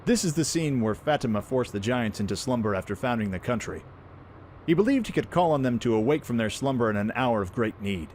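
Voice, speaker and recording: A man playing a brash, friendly young pirate prince in an animated, informal way for a fan audio drama. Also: mid-range voice, fairly quick, slight background noise, natural voice. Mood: proud, explaining.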